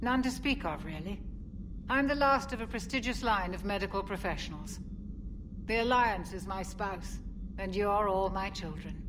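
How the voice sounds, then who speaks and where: A woman playing a composed, caring veteran military ship's doctor in a video game, speaking sincerely and in a measured way. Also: mid-range voice, lively intonation, natural voice. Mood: wistful, fond. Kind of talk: video game cutscene dialogue. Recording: clear background hum.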